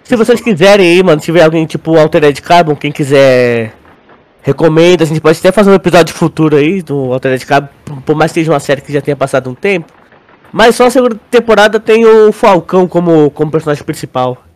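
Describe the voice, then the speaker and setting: A man's voice: fairly high pitch, expressive, fast talker, no echo. A Brazilian man chatting casually as a podcast co-host in a slangy, joking way. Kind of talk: casual chat.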